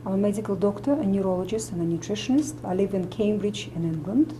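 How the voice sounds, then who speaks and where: A middle-aged woman doctor speaks English with a Russian accent, in an earnest, steady explanatory manner. Her voice is mellow and relaxed. A faint hiss and a soft room ambience sit behind her. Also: medium pitch, fast talker, rough recording. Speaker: medical doctor and nutritionist. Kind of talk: explaining to camera.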